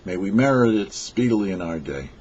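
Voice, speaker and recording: A man delivering a scripted religious teaching as a rabbi, in a measured, emphatic way with rhetorical questions. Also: sits low, lively intonation, darkish tone, husky. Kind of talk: scripted sermon read for a radio show. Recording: dull, lo-fi audio.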